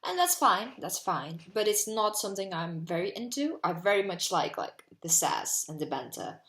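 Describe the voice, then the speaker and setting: A woman's voice: medium pitch, big pitch swings, moderate pace, a bit bright, reverberant. A 19-year-old woman from Portugal with a Portuguese accent, chatting casually and rambling to camera from her bedroom, full of "like". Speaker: vlogger.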